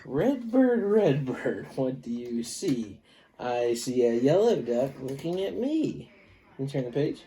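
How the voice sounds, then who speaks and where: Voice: medium pitch, very animated, slow, mellow. An adult reading a picture book aloud to a young child, playful and sing-song, with exaggerated delivery. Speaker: parent-like storybook reader leading a child.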